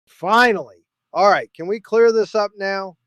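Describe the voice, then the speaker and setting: An actor playing a gruff, impatient police officer in an audio drama, brusque and exasperated. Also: male voice, high-pitched, unhurried, a bit bright, no room sound, lo-fi sound. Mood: impatient, suspicious.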